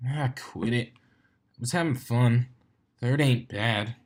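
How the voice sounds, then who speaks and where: A man's voice: medium pitch, slow. A man voicing a male pony athlete who is breezy and teasing, with a casual, joking way of talking. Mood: mock annoyed.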